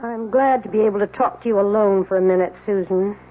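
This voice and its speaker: Actress playing a crotchety old aunt, tinny radio sound. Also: female voice, medium pitch, fast, close to an everyday voice. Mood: stern, interrogating. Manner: curt, scolding. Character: crotchety, disapproving older aunt, stern and prying. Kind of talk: old-time radio drama acting.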